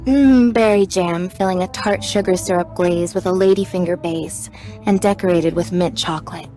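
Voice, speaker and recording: Terse, deadpan young tinkerer voiced by a woman. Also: on the low side, normal speed, lightly acted. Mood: matter-of-fact. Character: matter-of-fact young machine tinkerer with a sweet tooth. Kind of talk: scripted video game character dialogue. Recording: music behind the voice.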